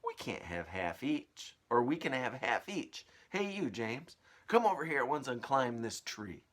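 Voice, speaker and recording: A man's voice: medium pitch, very animated, a little slow, fairly bright tone, pitched above the performer's own voice. A man voicing a grumpy, greedy, disbelieving aunt from a children's storybook, blustering and scolding. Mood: greedy, bossy.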